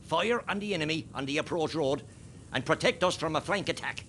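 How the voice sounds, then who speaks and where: A man with an Irish accent playing a steady, rousing rebel column commander in a radio play. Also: a little fast, natural voice. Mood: rallying. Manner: commanding, swinging from quiet briefing to rallying. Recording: faint rumbling background noise.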